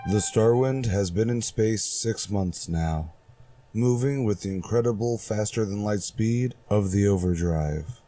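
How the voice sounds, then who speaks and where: A man performs a grave, portentous narrator from golden age sci-fi radio. He speaks slowly and fairly low, with music behind him. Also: mellow, close to an everyday voice. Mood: solemn. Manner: solemn, portentous. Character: grave, dramatic male radio announcer-narrator.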